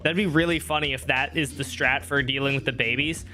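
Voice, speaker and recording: A man's voice: mid-range voice, steady tone, fairly quick, pushed and bright, soft background music. A young man streaming a game, rambling and joking at himself as he thinks aloud. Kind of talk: casual live-stream gameplay talk. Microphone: streaming microphone.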